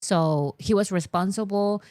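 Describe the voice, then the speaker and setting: A woman's voice: medium pitch, measured pace, darkish tone, no echo. A woman chatting casually as a livestream host, playful and prone to giggling. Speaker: host of a pop culture livestream. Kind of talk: casual chat.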